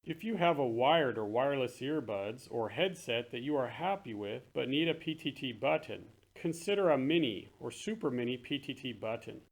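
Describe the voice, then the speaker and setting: Man presenting products in a plain voice. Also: mid-range voice. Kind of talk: scripted product narration, later casual demo chat.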